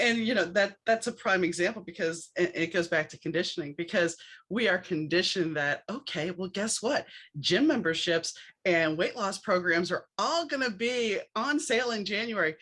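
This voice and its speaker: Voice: medium pitch, lively intonation, normal speed, pushed and bright. A podcast host chatting casually in an interview and drawing everyday analogies. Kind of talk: conversational interview.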